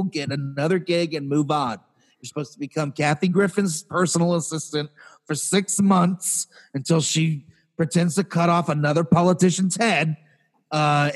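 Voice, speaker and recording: A young man with a fairly high voice rants through a casual podcast chat. He is exasperated and animated, piling up restarts and repeated words as he builds his point. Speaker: podcast co-host.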